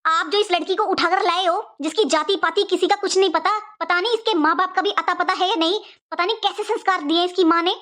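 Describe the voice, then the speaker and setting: A woman's voice: very high voice, brisk, few pauses, a bit bright. A woman voicing an indignant young daughter-in-law in a cartoon melodrama, accusing and dramatic, full of rhetorical questions. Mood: accusing, scornful. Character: indignant young daughter-in-law defending her jilted sister. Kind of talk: Hindi cartoon family melodrama voice acting.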